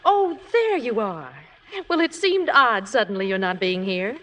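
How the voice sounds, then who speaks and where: Refined, expressive playwright's wife. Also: female voice, mid-range voice, measured pace, soft background music. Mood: warm, curious. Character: sensible, refined playwright's wife, warmly curious. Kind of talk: 1950s radio drama acting and narration. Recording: slightly noisy old radio recording.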